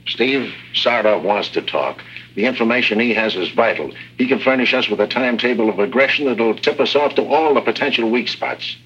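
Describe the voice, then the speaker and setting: A man's voice: mid-range voice, a little fast, fairly bright tone, husky. A man acting an earnest intelligence office aide in a 1950s radio drama, giving a matter-of-fact briefing. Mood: grave, urgent. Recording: dull, humming old radio recording.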